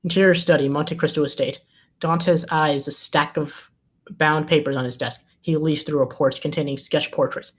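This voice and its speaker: Narrator for a screenplay table read: medium pitch, reads scene headings and action lines as scripted narration. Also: fairly even intonation, normal speed. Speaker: narrator reading stage directions.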